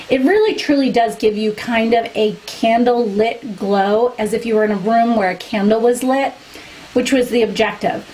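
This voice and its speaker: A woman with a fairly high voice talks through a makeup review in a chatty, candid and opinionated way. She is losing her voice. A faint ambience sits behind her. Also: a little mellow, slight background noise, poor audio. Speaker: beauty vlogger. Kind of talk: product review to camera.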